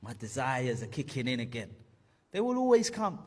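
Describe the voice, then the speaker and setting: A man's voice: medium pitch, sing-song, moderate pace, darkish tone. A man in his thirties animatedly telling a personal story, acting out the voices of friends and his teacher.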